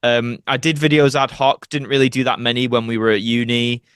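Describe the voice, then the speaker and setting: A man's voice: mid-range voice, level tone, a little fast, a bit bright, clear, resonant tone, dry recording, studio quality. A young man chatting casually as an interview guest, rambling and self-deprecating. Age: young adult. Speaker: podcast guest, actor and video creator.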